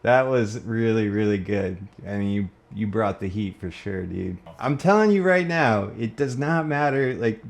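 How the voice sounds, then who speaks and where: A man's voice: lowish voice, lively intonation, moderate pace, few pauses. A man who makes videos, chatting casually with a friend in an effusive, slangy way. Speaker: video creator, challenge contestant. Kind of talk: casual chat between friends.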